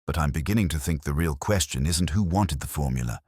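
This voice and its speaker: A man voicing a shrewd middle-aged male police inspector who speaks quietly and deliberately, with a husky voice. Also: a bass voice, animated, dry recording, studio quality, exaggerated voice. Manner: quiet, measured, probing. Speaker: detective inspector.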